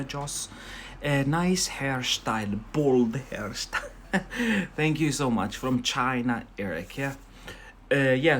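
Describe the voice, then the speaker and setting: A man from Algeria, whose first language is Algerian Arabic, chats through an unscripted English lesson on a live stream. He speaks at an ordinary middle pitch, scatters "um" between thoughts and tags a questioning "yeah?" onto many phrases. A faint hiss of background noise sits under his voice. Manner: loose and unscripted, with many fillers and self-corrections. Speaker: English teacher.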